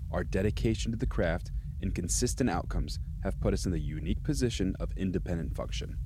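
An actor playing a composed senior research scientist in an audio drama, speaking in a measured, formal, polite manner. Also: male voice, deep voice, expressive, noisy background. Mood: proud, explanatory. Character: composed senior male research scientist, smoothly justifying dubious experiments.

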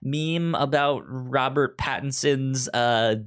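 Guy podcast host, mid pitched voice, stutters on words, chatty pitch-style delivery. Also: takes it slowly, clean tone. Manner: stutters, repeating sounds and words. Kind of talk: conversational pitching of media picks, partly reading synopses.

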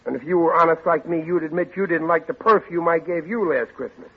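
A stubborn family dad in an old radio comedy, pitched high and husky. He blusters in folksy, rough grammar. The sound is dull and boxy, like an old broadcast. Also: fairly quick. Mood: blustering, eager. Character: blustering, stubborn, scheming middle-aged family father. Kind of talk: 1940s radio sitcom acting.

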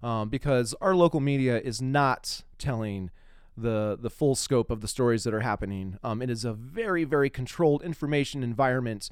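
A man with a clear, smooth voice in a dry, close recording, hosting a casual interview. He talks in a wry, playful way and pokes fun at himself. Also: mid-range voice, normal speed, no echo. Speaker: podcast host interviewer.

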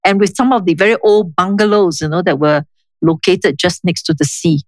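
An older woman with a Singaporean accent, speaking in a measured, reflective, explanatory way as an interview guest. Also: fairly low pitch, steady tone, no room sound. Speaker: interview guest, urban planning official. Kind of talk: conversational interview, reminiscing.

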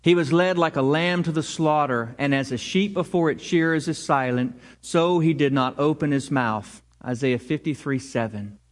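Man, pastor, slightly high voice, inviting tone, sermon. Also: moderate pace, few pauses. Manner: inviting, leads scripture reading.

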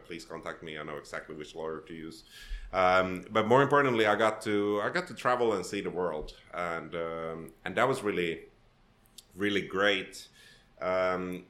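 Casting: Finnish-raised man in his thirties, very low voice, thoughtful guest talk, roomy echo. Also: a bit bright. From Finland. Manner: thoughtful, with frequent restarts and fillers. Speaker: podcast guest. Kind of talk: conversational interview.

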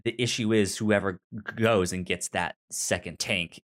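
Low-voiced man with a stammer. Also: fairly even intonation, normal speed, a bit bright. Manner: stammering, repeats sounds and words. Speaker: podcast co-host. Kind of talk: casual movie discussion.

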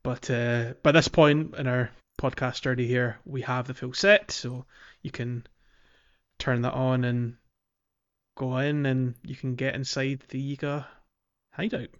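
A man's voice: medium pitch, steady tone, a little slow, highs cut off. A man co-hosting a casual gaming podcast, explaining things in a hesitant way and checking for agreement. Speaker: podcast co-host.

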